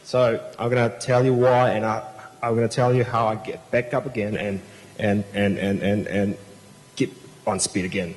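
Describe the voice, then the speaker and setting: Halting man, lowish voice. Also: fairly even intonation. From Indonesia. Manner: halting, repeats words as he searches for them. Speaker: panelist. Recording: reverberant hall with faint noise.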